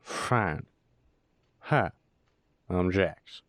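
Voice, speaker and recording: A man's voice: deep voice, animated, extremely slow, a bit bright, dry recording, clean studio sound, full character voice. A man playing a sullen, sarcastic younger werewolf brother in an audio roleplay, snarky and grudging. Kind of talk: roleplay audio drama acting.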